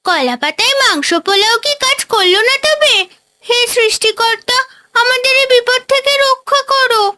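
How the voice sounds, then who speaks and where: A woman voicing an innocent, excitable young village girl in an animated moral story. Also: extremely high pitch, normal speed, full character voice. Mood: worried, pleading.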